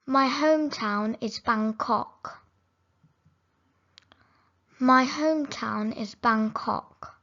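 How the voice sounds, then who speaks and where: A woman reading simple English phrases for learners, saying each sentence slowly and clearly, then repeating it. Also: a little high, pushed and bright, lo-fi sound. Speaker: English teacher.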